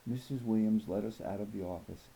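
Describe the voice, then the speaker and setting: A bookish, observant fifth-grade girl, voiced by a man tells a children's novel in careful, thoughtful sentences, a little nervous. The tone is very mellow and soft, with some creak. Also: lowish voice, fairly quick, highs cut off. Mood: thoughtful, uneasy. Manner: precise, wordy, thoughtful. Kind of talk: first-person children's novel narration.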